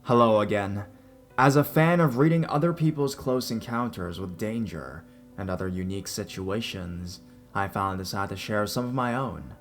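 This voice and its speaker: Horror story narrator: man, lowish register, reading first-person accounts over a music bed. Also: moderate pace. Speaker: narrator reading listener-submitted scary stories. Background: background music.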